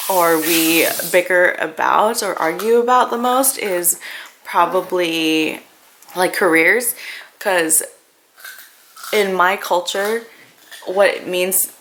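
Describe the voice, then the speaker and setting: A young woman who is a native Vietnamese speaker, chatting casually and haltingly to viewers as a vlogger. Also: fairly low pitch, a bit bright. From grew up in a Vietnamese-speaking family. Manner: informal, halting, thinking aloud. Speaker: family vlogger. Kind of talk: casual personal vlog chat. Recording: noisy home-video sound with clicks.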